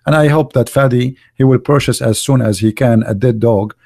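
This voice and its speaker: A man's voice: mid-range voice, normal speed, vocal fry, mellow. A middle-aged man hosting a live podcast, talking in a sarcastic, mocking way in broken, English. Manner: sarcastic, heavy accented English with broken grammar. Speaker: host of a religious debate show.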